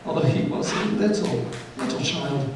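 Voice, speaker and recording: A man plays a humble father in a live radio drama, voice a little high. He speaks with halting, earnest tenderness through his grief. It is picked up from a distance with heavy echo and faint noise. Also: animated, lightly acted. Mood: grieving, earnest. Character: gentle grieving poor father, tender and humble. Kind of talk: live stage radio play. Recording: very echoey stage, distant mic, faint noise.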